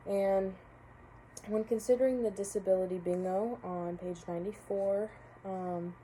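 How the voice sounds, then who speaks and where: A woman's voice: medium pitch, fairly even intonation, measured pace, dark tone, slight background noise, low-quality recording. A young woman, a college student, giving a casual spoken class reflection, hesitant with many fillers and restarts. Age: young adult.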